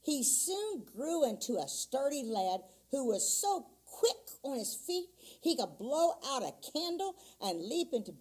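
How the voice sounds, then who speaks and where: A woman reads a children's picture book aloud, taking her time over every line. Her voice is fairly high and swings up and down expressively, with a slightly husky edge. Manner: slow, expressive storytelling. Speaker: children's story reader. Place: church.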